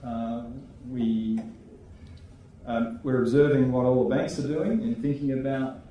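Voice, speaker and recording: A man, a regulator answering questions on a conference panel in a measured way, thinking aloud with restarts. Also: medium pitch, dull audio, slight background noise. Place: conference hall. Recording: echoey hall, voice from far off.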